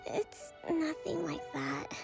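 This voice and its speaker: Gentle young female leader, high soft voice, slow, drained, apologetic, video game drama. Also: steady tone, music in the background, heavily acted. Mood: weary, apologetic. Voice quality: soft, breathy sighs. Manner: hesitant, apologetic. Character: gentle, weary young female leader, polite and self-doubting.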